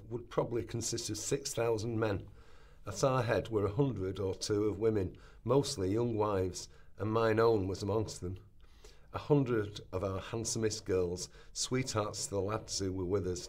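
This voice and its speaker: A man playing an earnest nineteenth-century chronicler, reading historical testimony in a formal, solemn, measured way. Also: on the low side, a little mellow, close to an everyday voice.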